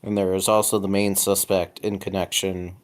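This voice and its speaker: A man's voice: low-pitched, flat delivery, normal speed, faint music behind. A man playing a tabletop game, reading case documents aloud in a casual way. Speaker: tabletop role-playing player.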